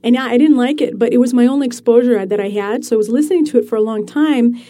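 A woman author being interviewed, explaining her ideas in an animated way and laughing easily. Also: on the high side, fast talker. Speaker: author guest. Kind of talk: radio interview.

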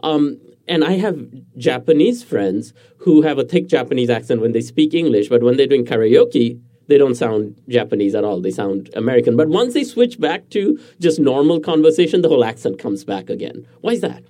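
A man's voice: mid-range voice, big pitch swings, normal speed, few pauses, mellow. A man hosting a radio interview, asking curious questions and chuckling now and then. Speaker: radio host.

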